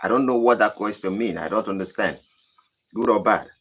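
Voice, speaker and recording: Low-voiced Nigerian man, emphatic. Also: fairly bright tone. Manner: deliberate, emphatic, rising to high emphatic bursts. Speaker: channel host. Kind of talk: live stream answering viewer questions.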